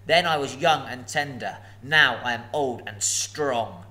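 A man voicing a huge, boastful old male dragon, slow and emphatic as he gloats and threatens. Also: medium pitch, sharp tone. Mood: gloating.

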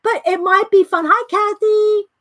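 A woman's voice: extremely high pitch, steady tone, unhurried, fairly bright tone, dry recording, studio quality. A woman hosting a live art stream, chatting and giggling with viewers by name while reading from a book at her craft table. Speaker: art livestream host.

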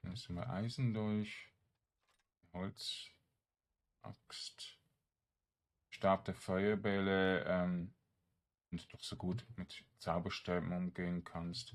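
A man giving casual gameplay commentary, thinking aloud in short, halting phrases. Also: low-pitched. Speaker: let's play streamer.

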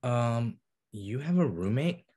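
A man plays a narcissistic, dismissive date in his thirties for a comedy read. His voice is husky and moves up and down a lot. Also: medium pitch, lively intonation, slow, mellow. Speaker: actor in a table read. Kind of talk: comedy table read acting. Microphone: video call.

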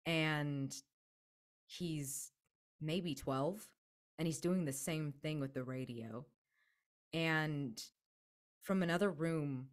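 A young woman with an extremely low voice narrates a role-playing scene. She improvises in the present tense, in measured, halting phrases, close on the mic. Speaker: role-playing game player. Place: indoor room at home, video call. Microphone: close desk microphone.

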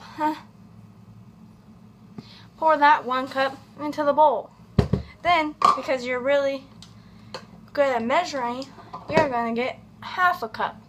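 A young adult woman giving a casual, comedic cooking tutorial in a home kitchen, with playful asides and drawn-out words. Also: high-pitched. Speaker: host of a home cooking video. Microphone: camera on counter at about arm's length. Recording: background kitchen noise, clicks.

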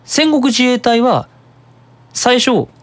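A web radio host giving a casual, explanatory monologue in an animated manner. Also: mid-range voice, takes it slowly.

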